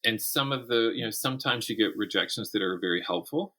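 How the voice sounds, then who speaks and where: A man speaking as a writer guest in a conversational interview, telling things in an animated way and voicing imagined quotes. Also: on the low side, brisk.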